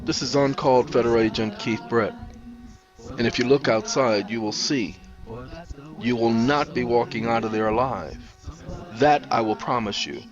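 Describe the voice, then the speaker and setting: A man's voice: medium pitch, steady tone, normal speed, dull audio, over music, lightly acted. Man acting a stern, taunting federal agent. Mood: firm, threatening. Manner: firm, coolly threatening.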